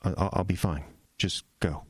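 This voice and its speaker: An actor playing an earnest, self-righteous young pastor with a scheming streak in an audio drama, speaking insistently. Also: male voice, low-pitched, steady tone, normal speed, no echo, clean studio sound. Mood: shaken, accusing.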